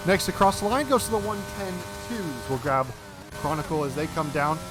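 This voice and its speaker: A fairly high-voiced American man commentates a sim race in a loose, chatty way, dropping fillers and correcting himself as he goes. A clear music bed plays underneath his voice. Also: animated, normal speed, rough recording. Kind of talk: live sim racing commentary.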